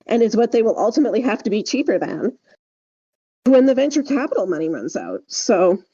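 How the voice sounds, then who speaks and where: Higher-voiced woman, mellow, explaining emphatically. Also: very animated, fairly quick, breathy edge, dull audio. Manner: emphatic, explanatory, often checks with "right?". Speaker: podcast co-host. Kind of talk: casual podcast discussion.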